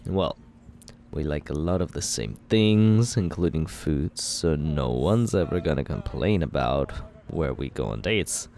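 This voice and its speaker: A man's voice: a bass voice, animated, a little slow, darkish tone, exaggerated voice. A young man playing a cocky male villain who courts the listener in an ASMR roleplay, teasing and laid-back as he justifies himself.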